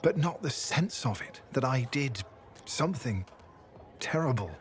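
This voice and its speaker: An actor voicing a video game's haunted defector, a former state assassin with a halting, brooding, confessional delivery. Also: male voice, medium pitch, animated, a little hiss or hum, close to an everyday voice. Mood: anguished. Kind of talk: video game character dialogue.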